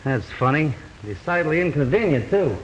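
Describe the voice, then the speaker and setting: An actor playing a wisecracking young detective in an old film, talking briskly and teasing. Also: male voice, a little high, big pitch swings, muffled recording, slight background noise. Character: wisecracking young male detective, eager groom. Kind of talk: 1930s film dialogue.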